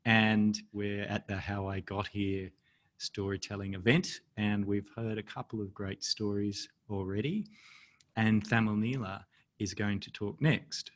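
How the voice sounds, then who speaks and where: A man with an Australian accent hosting an online storytelling event over a video call, relaxed and hesitant with many pauses. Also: lowish voice.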